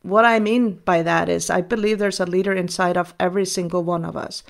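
A woman's voice: lowish voice, fairly even intonation, vocal fry. Earnest woman in her forties. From Iceland. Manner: earnest and measured, with frequent ums and restarts. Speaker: guest. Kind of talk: reflective interview answers.

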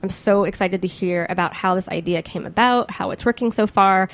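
A woman's voice: medium pitch, a little fast. Female American podcast host, mid-range voice, chatty and enthusiastic interviewer.

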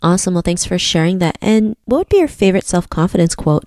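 A woman's voice: lowish voice, lively intonation, fast, darkish tone, no echo, clean studio sound. A woman hosting a podcast, asking brief upbeat questions of her guest. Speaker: podcast host. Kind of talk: podcast interviewing.

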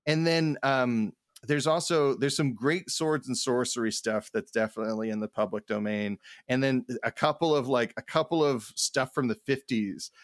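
A man co-hosts a casual podcast at an ordinary middling pitch. He rambles haltingly, repeating words while he works out his thought. The sound is close and dry, with hardly any room around him. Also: no echo. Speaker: podcast co-host, art professor.

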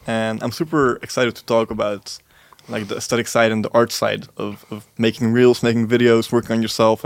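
A young man hosts a casual podcast interview, friendly and eager, tripping over his first words. His voice sits at a middle pitch and sounds close and dry, with no room echo. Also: gravelly. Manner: friendly, eager, repeats words as he starts sentences. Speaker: podcast host. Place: pop-up store.

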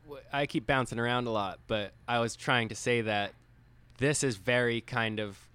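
A man's voice: medium pitch, moderate pace, sharp tone, smooth, dry recording. A man co-hosting a casual discussion, talking in a loose, rambling, hedging way peppered with "like". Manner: rambling, hedging, heavy use of "like". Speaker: co-host. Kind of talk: casual film discussion chat.

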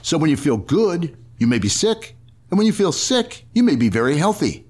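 A man's voice: fairly high pitch, sing-song, moderate pace, darkish tone, breathy edge. A man playing a patient but exasperated father explaining things to his little girl in an old-time radio comedy.

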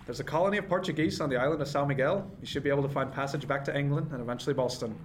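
A man's voice: mid-range voice, rapid-fire, lightly acted. A man playing a guarded, ambitious 18th-century first mate in a radio drama, with a formal, restrained manner. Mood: stern, dismissive. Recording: clear background noise, ship ambience.

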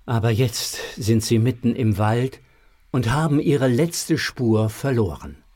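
A man narrating a scripted children's audio story with a husky voice. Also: mid-range voice, lively intonation, slow pace, a little mellow.

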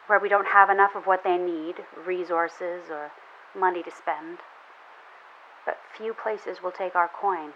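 A woman playing a weary, resolute enclave leader sending a grave, deliberate distress broadcast in an audio drama, filtered like a radio transmission. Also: medium pitch. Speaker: community leader. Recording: dull radio transmission with hiss.

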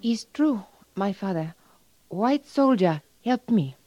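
Soft, slow young chief's daughter. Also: female voice, medium pitch, lively intonation, no echo, faint music behind. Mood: pleading. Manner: halting broken English. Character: gentle, earnest young injured chief's daughter. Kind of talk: old radio western acting. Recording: dull, dry old radio recording.

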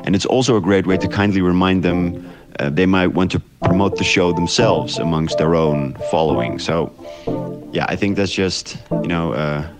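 A man from the Netherlands giving casual, reflective interview answers in a halting, hesitant way. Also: low-pitched, music in the background. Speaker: interviewed audio drama creator.

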